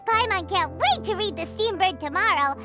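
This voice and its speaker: A woman voicing a tiny, excitable, cheeky childlike fairy companion in a video game, with a squeaky voice and whiny, pleading manner. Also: measured pace, over loud music, heavily acted. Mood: chirpy. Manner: speaks of self in third person, whiny pleading.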